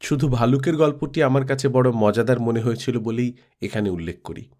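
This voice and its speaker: A man's voice: medium pitch, moderate pace, darkish tone. Man reading a literary story. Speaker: storyteller reading a classic audiobook. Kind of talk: scripted literary narration.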